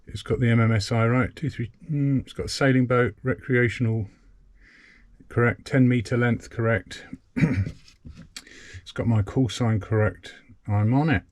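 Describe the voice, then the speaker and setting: A man's voice: mid-range voice, fairly even intonation, measured pace, darkish tone. A man talking casually to camera as a sailing vlogger, talking through each step of a hands-on job as he works. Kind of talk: casual narrated hands-on demonstration. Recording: clear background noise with clicks.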